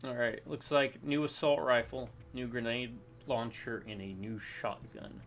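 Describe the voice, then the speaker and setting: A man's voice: mid-range voice, a little slow, fairly bright tone. A man chatting casually while playing a co-op game, joking and thinking aloud. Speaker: co-op game player. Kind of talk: casual gameplay chat.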